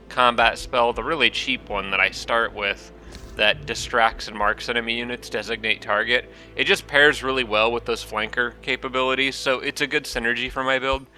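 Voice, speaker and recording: A man's voice: medium pitch, pushed and bright. A man hosting his own gaming channel, talking casually through a strategy game while stuffed up from allergies, a little nasal, thinking aloud with frequent ums. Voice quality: slightly nasal from congestion.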